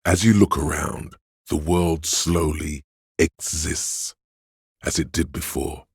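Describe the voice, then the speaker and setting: A man's voice: fairly even intonation, takes it slowly, husky, dry recording, studio quality, full character voice. A man playing a seductive, indulgent inner voice of craving in a video game, narrating in the second person with a theatrical, coaxing, savoring delivery. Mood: savoring, hypnotic. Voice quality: very deep, resonant.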